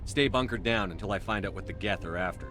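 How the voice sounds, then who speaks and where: Casting: man to play stoic, dutiful military commander for a video game. Low register, brisk delivery, firm and businesslike. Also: over music, lightly acted. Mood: firm, composed. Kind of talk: video game dialogue acting.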